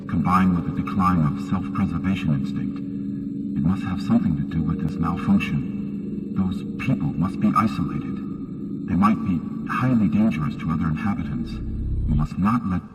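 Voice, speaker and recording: A man's voice: sits low, moderate pace, long reverb tail, over music, close to an everyday voice. A man playing a weary, wry doctor in a sci-fi horror video game, with a clinical, dryly sardonic manner. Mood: wry, concerned. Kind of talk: video game acting, musing monologue.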